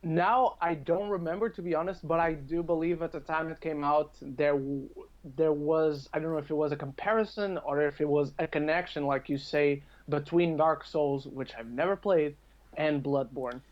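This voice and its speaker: Higher-pitched man on a slightly dull recording. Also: steady tone, moderate pace. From Portugal. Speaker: podcast speaker. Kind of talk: casual chat about video games.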